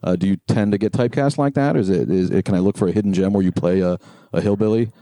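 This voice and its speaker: A man's voice: deep voice, quick pace, mellow, dry recording, studio quality. A man hosting a podcast, asking rambling, hesitant questions full of fillers. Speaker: podcast host. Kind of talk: casual interview questions.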